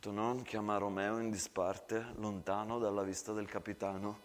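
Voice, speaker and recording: Hushed, measured male storyteller. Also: lowish voice, flat delivery. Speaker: actor and storyteller. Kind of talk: dramatic scripted narration.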